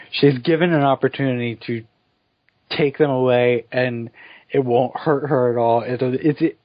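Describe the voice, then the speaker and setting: A man's voice: mid-range voice, no room sound. A man co-hosting a casual chat podcast, talking things through thoughtfully and steering the segments. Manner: thoughtful, leads the show's segments. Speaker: podcast co-host. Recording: dull lo-fi podcast audio.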